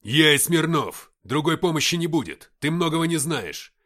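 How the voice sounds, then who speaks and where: A man's voice: mid-range voice, sing-song, normal speed, bright, forceful tone, breathy edge, heavily acted. A man voicing a brusque army comrade who talks urgently and bluntly in an audiobook war story. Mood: urgent briefing. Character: brusque army comrade reporting over radio under pressure.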